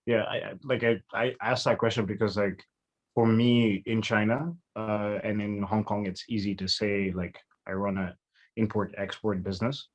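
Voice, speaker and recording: A man hosting an interview, asking questions in a casual, halting way, filling gaps with "like". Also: lowish voice, level tone. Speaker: interview host.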